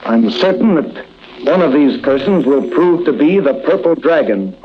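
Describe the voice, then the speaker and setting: An actor playing an earnest young police investigator in a 1940s radio drama, clipped and purposeful. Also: male voice, a little high, animated, normal speed, natural voice. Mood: urgent, resolute. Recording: muffled old radio recording, faint noise.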